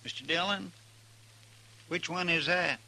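An American actor playing a folksy, eager, put-upon frontier sidekick in a 1950s radio western. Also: male voice, a little high, measured pace. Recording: faintly noisy 1950s radio recording.

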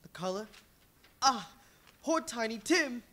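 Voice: mid-range voice, expressive, slow, sharp tone. A performer plays an earnest young son, sincere and kind. The voice swings up and down with feeling.

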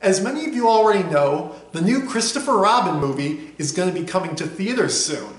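A man's voice: high voice, lively intonation, fairly quick. A young man talking casually and cheerfully to a webcam from a small room. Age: young adult. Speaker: vlogger. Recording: roomy echo in a small room.

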